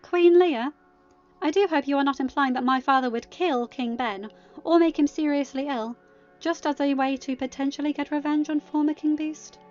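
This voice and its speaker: A woman's voice: high voice, moderate pace, muffled recording, soft background music, voice pushed up, close to an everyday voice. A woman voicing a proud young woman who speaks coolly and pointedly in a storybook reading. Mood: cold, challenging. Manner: cool, pointed. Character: proud young woman, cool, sharp, defensive of her father.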